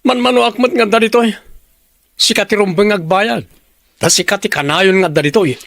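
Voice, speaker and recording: A man's voice: sits high, normal speed, a bit bright, dry recording, studio quality. A male actor playing a blunt, unapologetic man in an audio drama, with a Filipino Ilocano accent. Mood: defiant, scornful.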